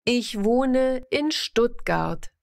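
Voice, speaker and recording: A woman slowly reading simple example sentences for a language lesson, pronouncing each word carefully and repeating every sentence. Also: on the high side, animated. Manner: careful, evenly paced, clearly enunciated.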